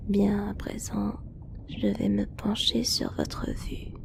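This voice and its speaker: A woman playing an attentive, easily flustered android technician in a French ASMR roleplay, whispering politely with a creaky, breathy edge. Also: mid-range voice, monotone, slow pace. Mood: gentle, clinical.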